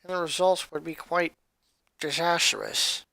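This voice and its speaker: A woman's voice: on the low side, flat delivery, a little slow, bright, forceful tone, no echo, low-quality recording. A woman voicing a precocious small girl who talks in a formal, pedantic way. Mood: tired, matter-of-fact. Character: precocious, formal four-year-old genius girl.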